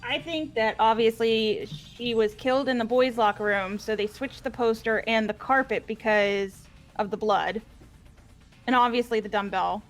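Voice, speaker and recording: Casual female gamer, fairly high voice, talking things over with friends over soft background music. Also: steady tone, moderate pace. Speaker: video game player discussing a mystery with friends. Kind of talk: casual group chat.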